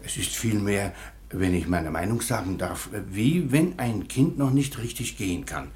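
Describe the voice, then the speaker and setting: An actor playing an eager, deferential master shoemaker in a radio play. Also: male voice, on the low side, lively intonation, measured pace, a little mellow. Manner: servile and deferential.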